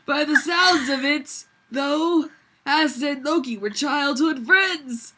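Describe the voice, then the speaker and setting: High-voiced, giggly, tipsy woman reading. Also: slow pace, bright, forceful tone, a little hiss or hum. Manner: giggly, theatrical. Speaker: reader. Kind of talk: comic dramatic reading of fan fiction.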